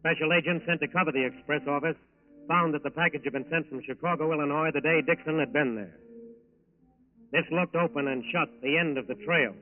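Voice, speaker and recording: A man's voice: a little high, fairly quick. A man delivering formal, dramatic scripted narration for a 1940s radio crime show. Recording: muffled old radio broadcast recording.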